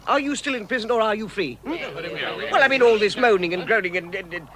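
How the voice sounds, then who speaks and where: A man's voice: high voice, lively intonation, a little fast, crowd noise. A man playing a fussy, flustered hobbit who stammers and repeats himself in an old radio drama. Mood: indignant, flustered. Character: fussy, flustered male hobbit, indignant but timid adventurer. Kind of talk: 1960s radio drama acting. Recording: vintage radio recording, loud background noise.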